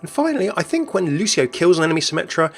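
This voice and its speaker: A man's voice: a little high, big pitch swings, fast talker. A man narrating a gaming commentary voice-over. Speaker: video creator, narrator. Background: faint music.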